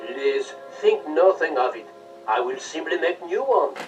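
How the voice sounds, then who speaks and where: A man playing a polite, excitable French florist in a storybook read-along, with a French accent and theatrical courtesy. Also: on the high side, a little slow, recorded from a distance, soft background music. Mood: reassuring.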